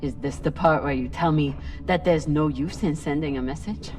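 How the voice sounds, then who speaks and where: A woman's voice: low-pitched, moderate pace, darkish tone, recorded from a distance, faint music behind, close to an everyday voice. An actress playing a hardened, guilt-ridden woman spacer, haunted and bitter, in a dramatic game. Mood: haunted, weary.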